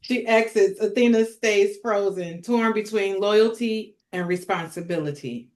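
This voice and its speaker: A woman's voice: mid-range voice, measured pace, a little mellow. A woman directing a table read and narrating its stage directions from a script.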